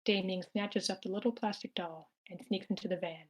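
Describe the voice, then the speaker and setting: Plain mid-pitched woman reading screenplay action. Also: steady tone, fairly quick. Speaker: stage-direction narrator. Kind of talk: reading screenplay action lines aloud at amateur table read.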